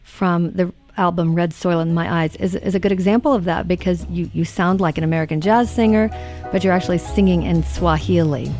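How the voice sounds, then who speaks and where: Gravelly-voiced woman radio host. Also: on the low side, steady tone, a little fast, a little mellow, faint music behind. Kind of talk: radio interview.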